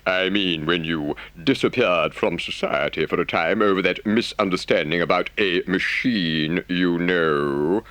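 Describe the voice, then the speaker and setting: A man's voice: low voice, measured pace, few pauses, fairly bright tone, no echo, pitched above the performer's own voice. A man voicing an earnest, indignant water rat in an audiobook, emphatic and dramatic as he speaks to a friend. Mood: grave, confiding. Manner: emphatic, scolding, dramatic storytelling. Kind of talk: audiobook character voice.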